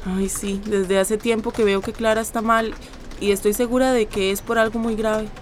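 An actress playing a friendly, caring young internet café worker in a radio drama, with a Costa Rican accent. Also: female voice, mid-range voice, level tone, normal speed, a little hiss or hum, lightly acted. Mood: concerned. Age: young adult. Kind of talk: naturalistic radio drama acting.

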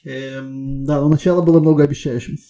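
A man's voice: medium pitch, steady tone, brisk, warm tone. A man working as a technical interviewer, giving casual feedback in a reassuring, encouraging way.

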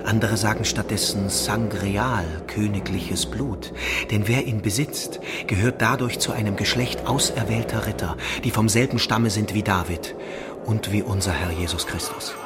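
An actor playing a proud, jealous seeker of a holy relic in a radio drama. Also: male voice, fairly low pitch, steady tone, normal speed, loud music behind the voice, close to an everyday voice. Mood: guarded, reverent.

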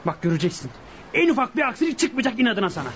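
An actor playing a bossy, impatient leader of a burglary gang in a radio drama, commanding and dismissive. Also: male voice, extremely high pitch, sing-song, very fast, fairly bright tone, full character voice. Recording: radio play with faint background noise.

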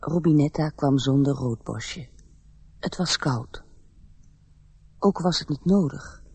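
Husky melancholy storyteller. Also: female voice, low-pitched, mellow, no room sound, full character voice. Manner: measured, reflective. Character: gentle, melancholy storyteller of a fairy tale. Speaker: narrator. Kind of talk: scripted radio play narration.

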